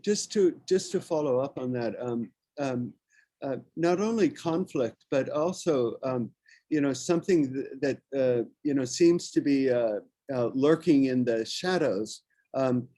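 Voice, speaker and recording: Dark-toned, very halting man. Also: medium pitch, animated. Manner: halting, heavy hesitation. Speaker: panelist. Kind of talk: unscripted panel discussion.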